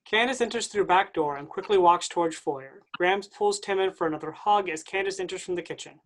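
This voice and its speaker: A woman's voice: fairly low pitch, brisk. A woman reading stage directions as scripted narration for a staged play reading.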